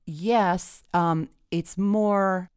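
Earnest teen boy read by a woman storyteller: polite, halting, nervous, low clear voice. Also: fairly even intonation, a little mellow, dry recording, clean studio sound. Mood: earnest, hesitant. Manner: hesitant, halting.

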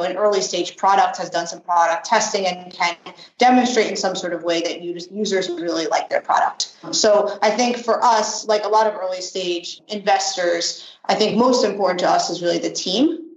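A woman with a slightly low voice gives structured, explanatory interview answers. She speaks off the cuff, working point by point. The sound is echoey and somewhat dull. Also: a little hiss or hum. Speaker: startup accelerator manager, interview guest.

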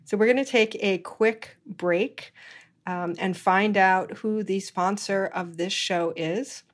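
A woman's voice: mid-range voice, slow pace. A middle-aged woman from Hawaii hosting a food-industry podcast, speaking with many fillers and restarts. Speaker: podcast host. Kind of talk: conversational interview and read ad.

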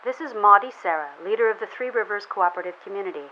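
A woman playing a weary, resolute enclave leader sending a grave, deliberate distress broadcast in an audio drama, filtered like a radio transmission. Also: mid-range voice, expressive. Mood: solemn. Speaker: community leader. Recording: dull radio transmission with hiss.

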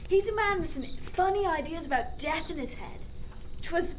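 A woman's voice: very high voice, animated, moderate pace, background noise. A woman playing a roguish, unrepentant male sailor, eloquent and sly, in a period seafaring audio drama. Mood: tipsy, elated. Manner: eloquent, sly, old-fashioned wording.

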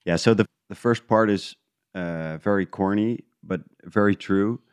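A man from the Netherlands, talking casually in an interview, halting as he thinks aloud. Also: on the low side, clear, resonant tone, dry recording, studio quality. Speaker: guest, independent podcast creator.

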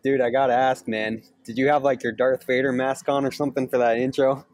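An upbeat, energetic man co-hosts a casual chat and explains his trade with easy enthusiasm. His pitch sits in the middle range. The recording is dry and close, with no echo. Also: fairly quick. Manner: upbeat, energetic, laughs easily. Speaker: podcast co-host, sales trainer. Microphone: remote call, each on own mic.

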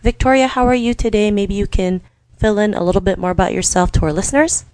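A woman's voice: medium pitch, fast talker, a little mellow, no echo. Upbeat woman hosting a podcast. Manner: upbeat, encouraging, repeats "you know". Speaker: podcast host.

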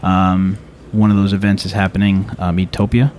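A man's voice: low voice, little pitch movement, normal speed, darkish tone, a little hiss or hum. A man hosting a radio show in a casual, conversational way, rambling a little and reworking his phrases as he goes.

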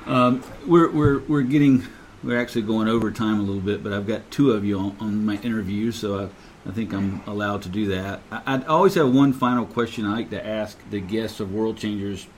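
A man's voice: lowish voice, brisk, slight background noise. A man from the United States hosting an interview show, asking rambling, casual questions.

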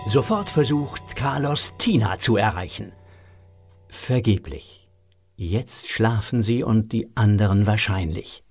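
A man's voice: on the low side, animated, takes it slowly, faint music behind. A man narrating a scripted audio story for children.

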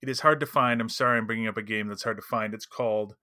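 A man's voice: fairly low pitch, fairly even intonation, quick pace, pushed and bright, no room sound, clean studio sound. A man co-hosting a podcast, chatting casually and emphatically about tabletop role-playing. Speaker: podcast co-host.

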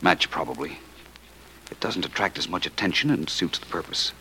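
An actor playing a suave, self-assured amateur detective in an old radio drama, urbane, dryly witty and commanding. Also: male voice, sits low, animated, moderate pace, pushed and bright. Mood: intent, quiet. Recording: noisy old radio play recording.

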